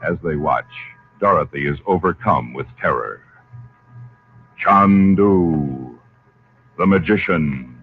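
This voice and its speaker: A man's voice: a bass voice, expressive, slow pace, pauses often, full character voice. A man narrating an old-time radio serial as announcer in a solemn, dramatic style. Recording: muffled, faintly noisy vintage radio recording.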